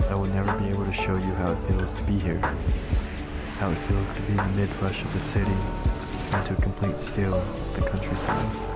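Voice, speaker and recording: A man born in Vietnam and raised in California, narrating a documentary in a calm, earnest voice-over. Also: deep voice. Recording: voice-over under loud music. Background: loud music.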